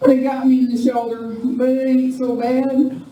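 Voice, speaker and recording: Woman acting a slow, soft-toned plucky ranch woman. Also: sits high. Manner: folksy rustic speech, drawn-out. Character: wounded frontier ranch woman, plucky, feisty. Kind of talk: live Western radio play acting. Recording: echoey room from far off, noisy.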